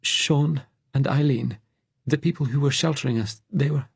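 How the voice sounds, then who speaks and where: A man's voice: mid-range voice, normal speed, gravelly, darkish tone, slightly rough, no room sound, voice pushed up. A man voicing a frightened, exhausted fugitive in an audiobook, pleading and shaken in manner. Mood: grieving, trembling.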